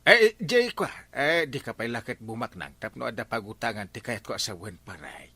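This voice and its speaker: Sly stammering village buddy, male actor: hugely animated, bright voice, close dry sound, Ilocano accent, comedy drama. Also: mid-range voice, a little fast, breathy edge, dry recording, heavily acted. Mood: sly, hesitant. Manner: stammering, evasive, full of hems and haws. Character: hesitant, sly village buddy angling for a favour.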